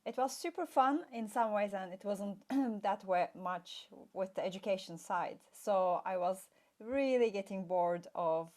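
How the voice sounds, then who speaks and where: A woman's voice: mid-range voice, measured pace, darkish tone. A middle-aged woman from Turkey, a guest play expert, chatting casually and telling her story with many fillers. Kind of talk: casual interview chat.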